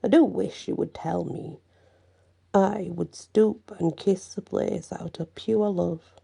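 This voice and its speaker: A gentle old woman, as a storyteller puts her on. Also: lowish voice, steady tone, takes it slowly, creaky, slightly rough, exaggerated voice. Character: kindly old captive woman.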